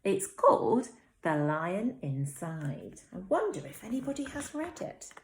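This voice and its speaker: Expressive Englishwoman with very lively intonation. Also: lowish voice, a little slow, noisy background. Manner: expressive, dramatic storytelling. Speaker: infant school teacher. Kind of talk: reading a picture book aloud to young children.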